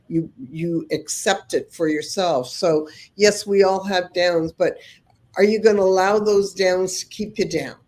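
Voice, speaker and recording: A woman's voice: on the low side, darkish tone. A woman hosting a motivational podcast, a little nasal from a cold, earnest and prone to repeating words as she starts a thought. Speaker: podcast host. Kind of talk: motivational chat.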